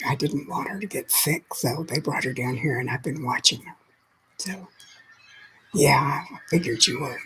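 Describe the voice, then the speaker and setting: A woman's voice: sits low, fairly bright tone, a little hiss or hum, low-quality recording. A woman who has lost her voice, whispering her way through a chatty livestream and greeting viewers by name. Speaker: livestream host. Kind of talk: casual live chat with viewers.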